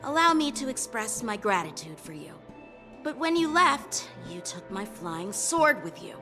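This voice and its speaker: A woman's voice: fairly high pitch, very animated, bright, forceful tone, faint music behind, heavily acted. A woman playing an earnest, hot-headed teenage boy swordsman who speaks formally and gets indignant, in a game cutscene. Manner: indignant, quick to anger, formal.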